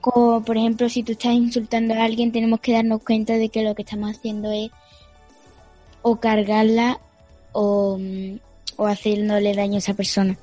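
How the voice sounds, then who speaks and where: A ten-year-old girl interviews an adult, pausing and restarting as she hunts for words. Her voice is fairly high and mellow. Soft music plays faintly behind her. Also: monotone. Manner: halting, searching for words. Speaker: child interviewer. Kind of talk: asking prepared questions.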